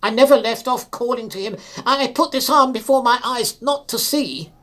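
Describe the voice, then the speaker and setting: A man's voice: very high-pitched, normal speed, fairly bright tone, voice pushed up. A man voicing a distraught engine driver in an audiobook, agitated and pleading yet respectful as he recounts a fatal accident. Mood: anguished.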